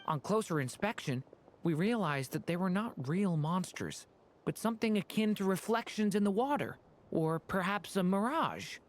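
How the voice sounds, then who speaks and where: Polite young male, soft-spoken, earnest, careful, video game, slight ambience behind. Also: sits high, animated, normal speed, a little hiss or hum. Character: polite, gentle, earnest youth.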